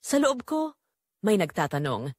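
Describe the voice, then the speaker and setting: A woman's voice: mid-range voice, big pitch swings, unhurried, darkish tone, no room sound, clean studio sound. A woman narrating a dramatic first-person story in an emotive way.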